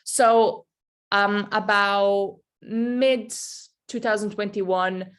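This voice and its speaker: A woman's voice: medium pitch, steady tone, drawn-out words, fairly bright tone, clean tone. A nineteen-year-old woman from Serbia, giving an informal personal talk as a youth advocate in a conversational, self-correcting way. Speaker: youth advocate and student speaker.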